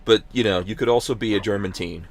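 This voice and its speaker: A man's voice: on the low side, fast talker, no echo. A man from Guyana hosting a casual podcast chat in a jokey, teasing way. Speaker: podcast host.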